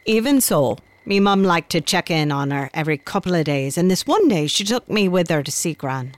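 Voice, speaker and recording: A woman's voice: lowish voice, expressive, moderate pace, no echo, lightly acted. An actress playing an Irish countrywoman who tells a tale of her gran in a chatty, confiding, folksy way for a radio drama. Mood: reminiscing. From Ireland. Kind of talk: conversational storytelling in radio drama.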